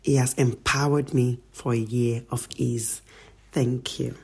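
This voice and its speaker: Very deep husky woman. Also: fairly even intonation, a little mellow. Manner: measured, earnest, listing. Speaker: guest sharing a gratitude message. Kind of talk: prepared spoken message.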